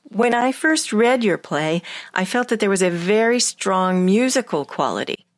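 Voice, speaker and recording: A woman's voice: medium pitch, animated, normal speed, dry recording. Dry-recorded woman interviewer, formal. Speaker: interviewer and drama director. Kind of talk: scripted, formal interview questions.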